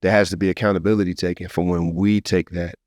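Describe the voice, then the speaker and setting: An American man with a very deep voice speaks at a fast clip in a casual podcast discussion. He is emphatic and informal, leaning on slang and often seeking agreement. The sound is dry, with almost no room in it. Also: flat delivery, darkish tone, dry recording. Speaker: podcast host.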